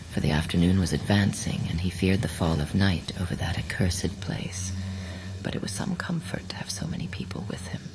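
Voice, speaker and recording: A woman's voice: low voice, vocal fry, a little mellow, a little hiss or hum, low-quality recording. A woman narrating a horror story as a scripted, dramatic audiobook reading.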